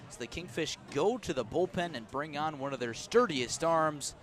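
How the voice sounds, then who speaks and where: A man's voice: on the high side, lively intonation, moderate pace, a bit bright. A man doing live radio play-by-play of a baseball game as the announcer. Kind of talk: live radio sports commentary. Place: press box at an outdoor ballpark.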